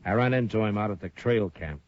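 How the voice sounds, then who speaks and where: A man playing a frontier marshal who speaks with a low, measured voice. He is dry and firm. The voice comes through a muffled vintage radio broadcast. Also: a little mellow, lightly acted. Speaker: lead character. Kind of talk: 1950s radio drama acting.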